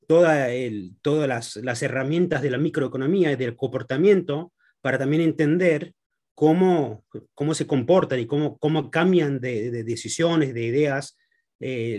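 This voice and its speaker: A man who grew up in Argentina, a guest economist in an interview, speaking haltingly with many fillers and restarts. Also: medium pitch, darkish tone. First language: Spanish.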